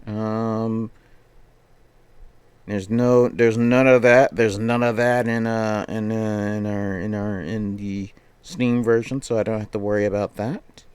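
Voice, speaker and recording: Smooth-voiced man rambling on a game livestream. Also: lowish voice, level tone, a little slow, a little mellow, no echo. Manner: rambling, thinking aloud, repeats phrases. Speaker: game streamer. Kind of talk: casual livestream chat while setting up a game.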